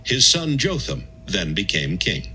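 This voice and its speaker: A man narrating a dramatised audio Bible as a scripted reading, in measured, clearly phrased storytelling. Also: low voice, very animated, sharp tone, a little hiss or hum. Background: music.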